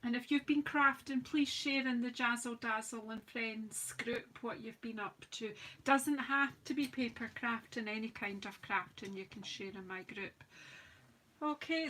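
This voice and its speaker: A woman with a slightly croaky voice, chatting and rambling as she hosts a live craft demonstration. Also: fairly high pitch, a little slow, a bit bright, low-quality recording. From United Kingdom. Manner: chatty, rambling, self-deprecating, talks while working. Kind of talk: live-stream craft demo chat.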